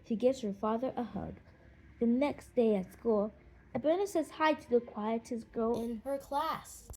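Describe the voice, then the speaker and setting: A woman's voice: a little high, a little slow, mellow, low-quality recording. A woman narrating a children's storybook as an audiobook.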